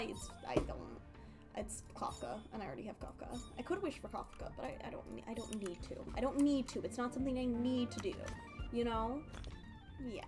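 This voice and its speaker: A woman live-streaming a game, chatting casually and rambling as she thinks aloud. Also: high voice.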